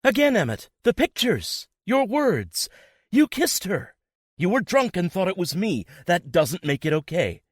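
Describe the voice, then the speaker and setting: A man's voice: medium pitch, sing-song, moderate pace, hardly any pauses, no room sound, studio quality, voice pushed up. A man voicing a bitter, betrayed young woman in an audiobook, sarcastic and accusing, his voice raised well above his own. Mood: furious. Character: furious betrayed young woman, sharp and scornful. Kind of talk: audiobook character voice.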